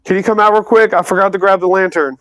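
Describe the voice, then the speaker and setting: A man playing a tabletop game in a casual, relaxed way, swearing now and then. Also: sits high, quick pace, no room sound, soft background music, poor audio. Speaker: player.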